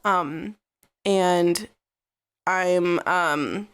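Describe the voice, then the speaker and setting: A young woman co-hosting a podcast in casual chat, slightly raspy and congested after the flu, laughing often and restarting her sentences. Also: on the low side, extremely slow, a bit bright. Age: young adult. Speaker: podcast co-host.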